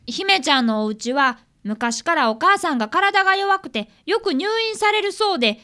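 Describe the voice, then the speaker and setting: A woman's voice: very high voice, expressive, moderate pace, pushed and bright, heavily acted. A woman playing an earnest, polite fifth-grade boy apprentice detective in a radio drama, given to blaming himself. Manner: polite, earnest, deferential speech. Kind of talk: radio drama acting with narration.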